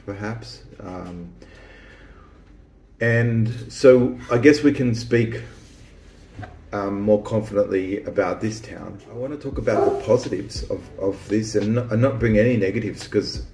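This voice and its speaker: A man's voice: fairly low pitch, a little slow, warm tone, roomy sound, slight background noise, rough recording. A man who grew up in Australia, hosting a casual chat about small-town life, rambling with lots of restarts and ums. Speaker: vlog host.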